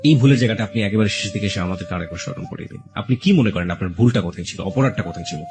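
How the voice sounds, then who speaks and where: A man's voice: lowish voice, very fast. A man with a Bangladeshi accent hosting a radio interview, probing emphatically and mixing English phrases into his speech. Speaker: radio show host interviewing. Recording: soft music behind the voice. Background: background music.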